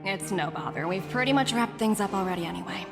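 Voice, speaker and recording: Actress as a formal young corporate envoy, reverberant. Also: female voice, medium pitch, vocal fry, music in the background, lightly acted. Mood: polite. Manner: formal, measured, polite. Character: poised, businesslike young female corporate envoy. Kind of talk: scripted video game dialogue.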